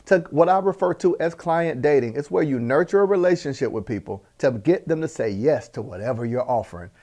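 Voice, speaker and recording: A man with a Southern American accent, an energetic and folksy business coach talking straight to his viewers. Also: medium pitch, fairly quick, no room sound. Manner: energetic, animated, folksy with jokes and laughs. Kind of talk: direct-to-camera teaching talk.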